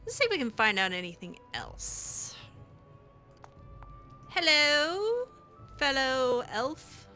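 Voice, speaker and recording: A woman's voice: high-pitched, huge pitch swings, slow pace, pauses often, pushed and bright, soft background music. A woman playing a role-play video game, talking casually in character with a playful, sassy, assertive manner. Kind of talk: casual in-character gameplay commentary.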